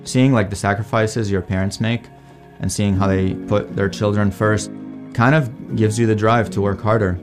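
A young man from Iraq shares his own experiences in a casual, conversational way, peppered with informal turns of phrase. He speaks as a student giving a testimonial, with music clearly playing underneath him. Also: on the low side, fairly even intonation, normal speed. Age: young adult. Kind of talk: casual personal storytelling. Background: music bed.

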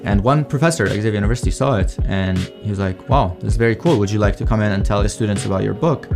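A man's voice: fairly low pitch, quick pace, vocal fry. Young man telling his story over music. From Iraq. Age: young adult. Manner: informal, conversational, frequent "like". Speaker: alumni testimonial speaker, PhD student. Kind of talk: casual personal storytelling. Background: music bed.

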